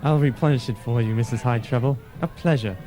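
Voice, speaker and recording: A man's voice: mid-range voice, brisk, over music, close to an everyday voice. A man acting a genteel, courtly count with an affected, upper-crust manner in an old-time radio play. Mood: courtly, surprised. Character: genteel, courtly male count, solicitous party guest.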